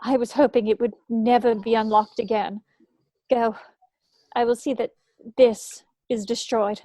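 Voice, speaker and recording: A high-pitched woman reading as a scientist in a horror script, principled and weighed down by guilt. She is defiant and earnest, as in an informal table read. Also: steady tone, unhurried, warm tone, low-quality recording. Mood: grave, urgent.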